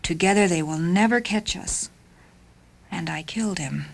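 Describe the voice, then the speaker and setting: A woman voicing a soft-spoken, lovelorn female car with a mind of her own in a radio story, hushed and hesitant. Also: lowish voice, normal speed, gravelly. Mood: haunted. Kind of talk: radio story character acting.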